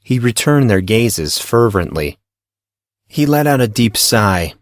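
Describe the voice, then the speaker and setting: A male narrator reads a fiction story from a script. His pitch is average for a man, and the recording is close and dry with hardly any room sound. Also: sing-song, slow pace, dry recording. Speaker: audiobook narrator.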